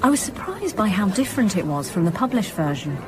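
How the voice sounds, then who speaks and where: A woman playing a brisk British police chief inspector in a naturalistic radio drama, questioning politely but insistently. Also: mid-range voice, big pitch swings, a crowd in the background, close to an everyday voice. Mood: businesslike.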